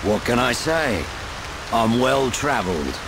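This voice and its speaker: A man playing a gruff, worldly older veteran adventurer in a video game cutscene. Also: mid-range voice, lively intonation, takes it slowly, background noise. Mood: wry, knowing.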